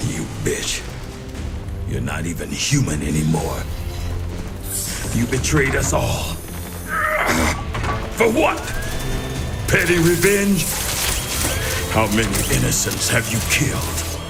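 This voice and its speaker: Gruff, gravelly battle-worn general. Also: male voice, mid-range voice, big pitch swings, slow pace, pauses often, exaggerated voice. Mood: bitter fury. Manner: gruff, commanding, bitter. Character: battle-worn, defiant male military general. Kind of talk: dramatic video game acting. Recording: loud music behind.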